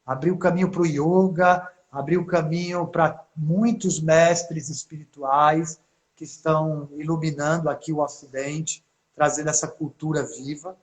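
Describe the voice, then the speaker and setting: Male host, Brazilian accent, somewhat higher-pitched voice, speaking devotionally as he leads a spiritual interview. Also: little pitch movement, unhurried. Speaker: host of an online spiritual mentoring session.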